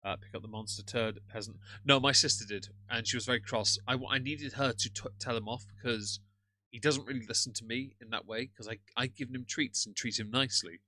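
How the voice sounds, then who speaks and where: A man's voice: medium pitch, fairly even intonation, brisk, sharp tone, low-quality recording. A man with a British accent hosting a casual live stream, ranting sarcastically and greeting chat viewers. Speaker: livestream host reacting to another streamer.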